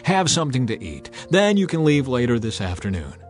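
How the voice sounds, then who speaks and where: A man's voice: medium pitch, huge pitch swings, a little fast. A man voicing a hospitable older father-in-law, coaxing and insistent, in a dramatized scripture reading. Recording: music bed under the voice.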